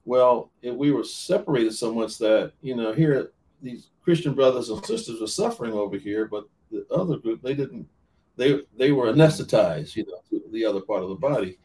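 A man's voice: mid-range voice, lively intonation, moderate pace, mellow, distant mic, low-quality recording. A man who works as a minister, talking earnestly in an interview and often restarting and repeating words mid-sentence. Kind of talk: podcast interview talk.

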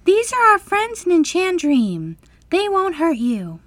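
A woman voicing a tiny caring fairy in a children's storybook, squeaky, chirpy and comforting. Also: wildly swooping pitch, unhurried, no echo, exaggerated voice. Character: tiny, bright, caring fairy sister Sparkle.

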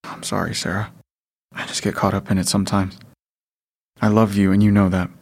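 A man's voice: fairly low pitch, flat delivery, fairly quick, gravelly. An actor playing a protective, grieving father surviving a post-apocalypse in an audio drama, with a hesitant, understated way of talking. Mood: grieving, tender.

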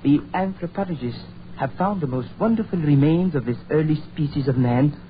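Male actor as proud, bookish Frenchman for 1940s radio chiller; French accent, lightish pitch, narrow phone-like sound. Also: brisk, lightly acted. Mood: earnest, learned. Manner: formal, eloquent, dramatic. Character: erudite, proud Frenchman driving foreign travellers, moodily eloquent. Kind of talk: 1940s radio horror acting. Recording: muffled, noisy 1940s radio recording.

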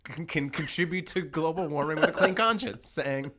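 A man's voice: a little high, animated, normal speed, pushed and bright. A man hosting a sports podcast in casual, comedic banter, arguing insistently and repeating himself for comic effect.

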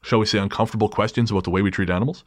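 A man's voice: fairly low pitch, fairly even intonation, racing, no echo, clean studio sound. A man hosting a podcast, chatting casually and cracking crude jokes between stories. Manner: joking, crude humor with easy laughter. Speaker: podcast host.

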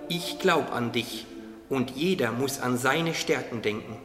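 A man's voice: medium pitch, measured pace, lightly acted. A man playing a wise, encouraging little caterpillar in a German radio play. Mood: tender. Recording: echoey hall, music underneath.

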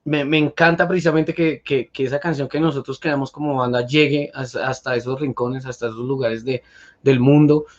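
A man's voice: mid-range voice, fairly even intonation, fairly quick, highs cut off. A man with a Colombian accent, a musician guest on an online radio show, talking in a nervous, laughing, self-deprecating way. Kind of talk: casual online radio interview.

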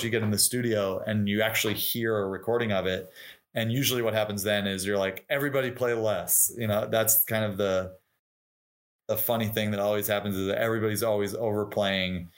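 Middle aged guy, lowish voice, musician chatting casually in an interview, talks a lot and acts out little quotes. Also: fairly even intonation, fairly quick. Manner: informal and talkative, voicing imagined quotes. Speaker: interview guest, touring musician and singer. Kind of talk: casual conversational interview, explaining craft.

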